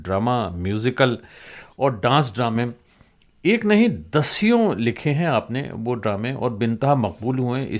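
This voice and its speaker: An older man hosting a conversational interview, reminiscing at length in literate, discursive phrasing. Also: mid-range voice. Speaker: podcast host and interviewer.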